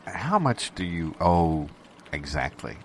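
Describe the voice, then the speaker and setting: Male actor as exasperated bankruptcy official, deep bass pitch, dry but flustered, improvised audio sketch with light background noise. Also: sing-song, slow pace, a bit bright, heavily acted. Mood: dry, dismissive.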